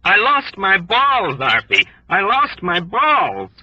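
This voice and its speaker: Man doing a panicked wounded airman, high strained screams, pleading, husky edge, storybook reading. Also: huge pitch swings, takes it slowly, no echo, dull audio, exaggerated voice. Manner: screaming, desperate, near weeping.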